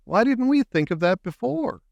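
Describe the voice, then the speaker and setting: A man's voice: extremely high pitch, very animated, normal speed, creaky, darkish tone, dry recording, dull audio, pitched above the performer's own voice, exaggerated voice. A man voicing a living scarecrow, a mildly grumbling travelling companion in a storybook. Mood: excited.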